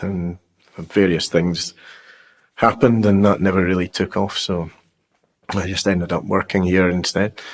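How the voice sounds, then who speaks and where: A man in his fifties telling his life story in an interview, unhurried and halting, with plenty of fillers and restarts. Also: low voice. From Scotland. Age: 50s. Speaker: guest sharing his testimony. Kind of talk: personal testimony interview.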